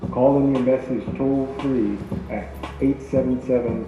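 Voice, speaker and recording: A man reads a scripted radio promo in a mid-pitched voice over clear music. The playback is muffled and echoey. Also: fairly even intonation, a little slow.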